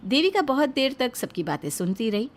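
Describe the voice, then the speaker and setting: A woman's voice: high voice, big pitch swings, moderate pace. A woman reading scripted narration for a moral story as its storyteller.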